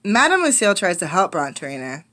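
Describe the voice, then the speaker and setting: A woman teacher reading a storybook aloud to children, expressive and instructive, often putting questions to the listeners. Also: on the low side, fast talker, background noise.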